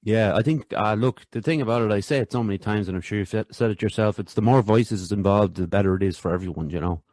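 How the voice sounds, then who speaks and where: A man's voice: lowish voice, quick pace, darkish tone, no echo. A man hosting a podcast, easygoing and chatty. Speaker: podcast host. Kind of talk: casual interview chat.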